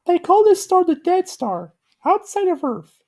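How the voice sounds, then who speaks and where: Woman doing a high squeaky clay boy. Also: big pitch swings, measured pace, darkish tone, heavily acted. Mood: mournful. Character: small clay boy, innocent, frightened.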